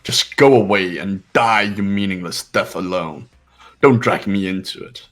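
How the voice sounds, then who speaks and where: A man's voice: low voice, a little slow, soft background music. A man voicing an arrogant, cold rich young man who talks down to everyone, in a fan voice-acted game. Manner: cold, condescending.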